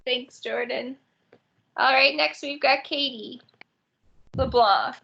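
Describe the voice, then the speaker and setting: Host woman: high, bright, slightly gravelly voice over a muffled video call, brisk roll-call manner. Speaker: orientation host. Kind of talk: online orientation session.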